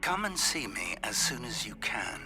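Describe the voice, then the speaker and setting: A man playing a courteous magic-school mentor in a video game, speaking formally and in a measured way. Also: mid-range voice, big pitch swings, gravelly. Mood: businesslike. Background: music.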